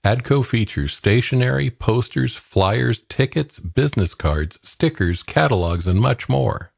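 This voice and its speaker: A man's voice: mid-range voice, animated, a little slow, creaky, dry recording, studio quality. A man reading scripted sponsor messages as a radio announcer. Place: dry studio, close mic.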